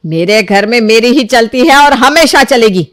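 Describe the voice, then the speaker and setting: A woman's voice: a little high, animated, normal speed, no room sound, clean studio sound, natural voice. A woman voicing a strict, domineering older mother-in-law in a cartoon, scolding harshly. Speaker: cartoon voice actor. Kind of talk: Hindi cartoon dubbing.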